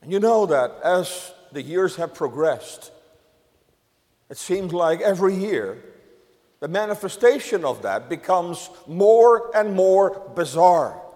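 An older man from the Netherlands preaching a sermon to a congregation, measured, with emphatic rises on key words. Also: high-pitched, lively intonation, darkish tone, in a large hall. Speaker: pastor.

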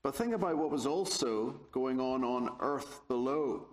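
A man from Northern Ireland with a Belfast accent, giving a theology lecture in a measured, deliberate way with touches of dry humor. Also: medium pitch, lively intonation. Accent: Belfast, Northern Irish. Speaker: guest lecturer. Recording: echoey lecture hall.

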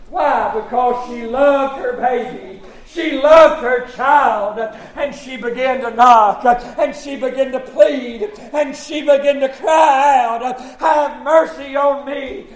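Guy preacher, really high voice, fiery revival sermon, drags words out, echoey room. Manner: emphatic, drawn-out words with frequent "uh" punctuating phrases. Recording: echoey, roomy live sound.

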